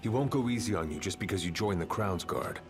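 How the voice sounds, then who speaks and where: Brief: a laconic old warrior, deep and dry. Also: male voice, expressive, fairly quick. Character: weary, dry-witted veteran male warrior. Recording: game audio with music.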